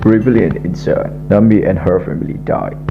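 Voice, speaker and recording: A man's voice: sits low, brisk. A young man giving scripted historical narration as the narrator of a radio drama. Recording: dull lo-fi, music behind.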